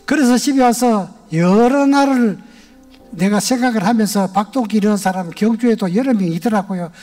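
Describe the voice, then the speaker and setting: An older man speaks with a Gyeongsang accent, a retired elder sharing his testimony in a large church sanctuary. His voice sits high for a man yet sounds mellow and warm. His storytelling is plain and homespun, with a little music in the background. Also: moderate pace. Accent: Gyeongsang Korean. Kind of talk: personal testimony to a congregation.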